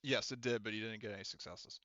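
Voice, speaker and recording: Bright-voiced, halting male game master, dull recording. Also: lowish voice, fairly even intonation, no room sound. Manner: halting, rambling, sweary. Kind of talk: casual improvised tabletop game narration.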